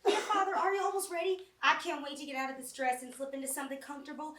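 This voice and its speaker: A woman's voice: sits high, very fast, a bit bright. A woman playing a brash, lustful Southern country woman at a funeral, sassy and complaining, in a live amateur stage comedy. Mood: flirty, snappish. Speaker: actor in a staged reading of a short play. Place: small college theatre stage. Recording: roomy distant theatre mic, background noise.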